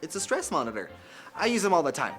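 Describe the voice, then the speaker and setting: A performer voicing a personified university in a jokey ad skit, chummy and quick. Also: medium pitch, sing-song, fairly bright tone, soft background music. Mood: smug.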